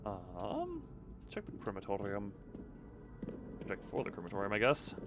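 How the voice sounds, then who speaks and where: A man's voice: lowish voice, wildly swooping pitch, unhurried, loud music behind the voice. A man giving casual live gameplay commentary, rambling, self-deprecating and thinking aloud. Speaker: video game streamer. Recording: faint background noise and game ambience.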